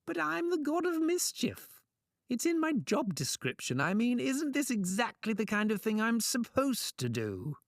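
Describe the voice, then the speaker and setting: A man's voice: very high-pitched, huge pitch swings, measured pace, no echo, clean studio sound, pitched above the performer's own voice, exaggerated voice. A man voicing a sly, smarmy god of mischief in a storybook tale, wheedling and flippant with mock politeness. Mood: pleading.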